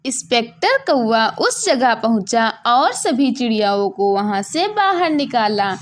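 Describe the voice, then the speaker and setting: A woman narrating a scripted children's story in an expressive, dramatic storytelling manner. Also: on the high side, a little slow.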